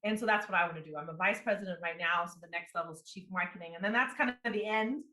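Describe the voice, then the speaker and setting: Bright-voiced woman in her forties. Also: fairly low pitch, fast, echoey room. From immigrant. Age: 40s. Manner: rambling, with many fillers and restarts. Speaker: guest, business coach and marketing executive. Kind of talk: casual interview talk.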